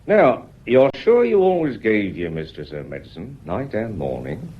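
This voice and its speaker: Folksy british police inspector in a radio play, deep husky voice that swings about, polite but digging. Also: male voice, huge pitch swings, highs cut off, full character voice. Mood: genial, probing. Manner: deferential but probing, measured questioning. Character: shrewd, folksy, persistent male police inspector, middle-aged, rheumatic. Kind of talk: period radio drama acting.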